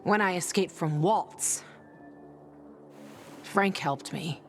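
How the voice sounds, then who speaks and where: A woman's voice: on the low side, slow, soft background music. An actress playing a hard-bitten, sardonic young woman in a video game, blunt and guarded. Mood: bitter, downcast. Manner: blunt, dry, profane. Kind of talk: video game character acting.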